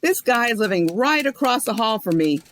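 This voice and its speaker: A woman's voice: fairly high pitch, lively intonation, brisk, a little hiss or hum, close to an everyday voice. A woman playing a nosy, suspicious condo busybody, indignant and carping, in a comic audio drama. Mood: suspicious griping.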